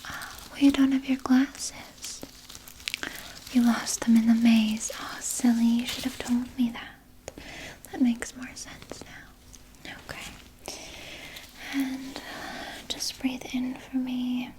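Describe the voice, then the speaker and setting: A woman voicing a soothing, sly vampire nurse in a gentle, breathy ASMR whisper, reassuring a patient with small hesitant pauses. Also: high-pitched, level tone, low-quality recording. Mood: gentle, clinical. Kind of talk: ASMR personal attention roleplay.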